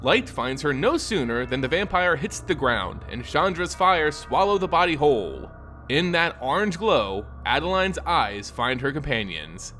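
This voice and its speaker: A man narrating a scripted fantasy story in a dramatic, solemn and measured storytelling manner. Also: on the high side, sing-song, pushed and bright, soft background music. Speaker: lore narrator.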